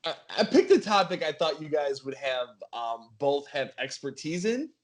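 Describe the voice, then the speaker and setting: A man's voice: fairly high pitch, sing-song, normal speed. A man hosting a casual podcast game in a playful, mock-announcer way. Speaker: game show host on a podcast.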